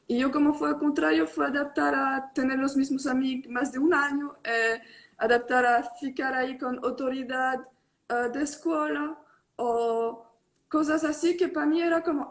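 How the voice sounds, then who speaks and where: Guest: young woman, high voice, hesitant code-switching speech over a video call. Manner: mixes Spanish and Portuguese, hesitant with many fillers. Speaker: tattoo artist guest. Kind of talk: casual online live interview.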